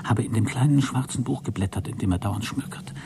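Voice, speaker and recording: A man's voice: on the low side, a little fast, in a whisper, lightly acted. A man playing a mocking, scheming astronaut in a satirical science fiction radio drama. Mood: secretive, hushed. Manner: sardonic, needling. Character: mocking, scheming male astronaut, resentful of his crewmate.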